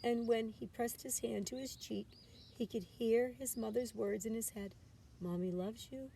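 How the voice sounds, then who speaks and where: A woman's voice: on the high side, steady tone, normal speed, creaky, dark tone. A woman narrating a children's storybook aloud as a teacher would, with expression. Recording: distant mic with background noise.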